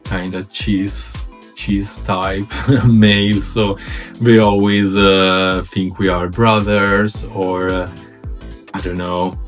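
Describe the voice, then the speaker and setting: A man in his thirties from Italy with an Italian accent, co-hosting a casual travel chat, halting with many fillers. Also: deep voice, level tone, soft background music. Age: 30s. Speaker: co-host.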